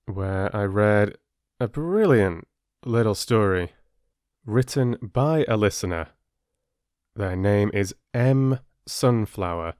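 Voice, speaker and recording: A man hosting a storytelling channel, chatting gently and casually to his listeners in an unhurried way. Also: fairly low pitch, clear, resonant tone, dry recording, studio quality. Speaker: audiobook storyteller and channel host. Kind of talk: casual, appreciative chat to listeners.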